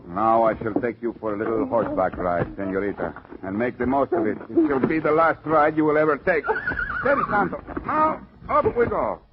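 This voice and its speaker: An actor playing a menacing, mock-polite masked bandit in old-time radio melodrama, on a muffled recording. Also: male voice, medium pitch, lively intonation, a little fast, heavy background noise, close to an everyday voice. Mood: fierce, gloating. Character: masked bandit, suave, sadistic, menacing male villain.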